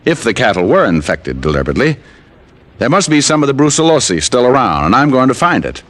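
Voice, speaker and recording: A man's voice: medium pitch, big pitch swings, fast, fairly bright tone. An actor playing a dry, wisecracking male private detective who narrates a 1950s radio drama. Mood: wry. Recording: old radio broadcast with faint music.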